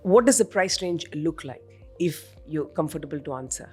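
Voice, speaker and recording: A woman's voice: sits low, lively intonation, normal speed, dark tone, breathy edge, soft background music. A woman hosting a conversational podcast interview, asking questions and often chiming in with small listening murmurs.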